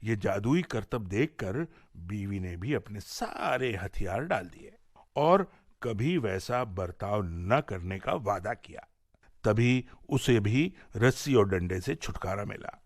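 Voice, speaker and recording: A man's voice: lowish voice, slow pace, dry recording, studio quality. A man with an Indian accent narrating a folk tale expressively as a radio storyteller, in a dramatic scripted style. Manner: dramatic, animated storytelling delivery. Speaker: storyteller and presenter on a radio show.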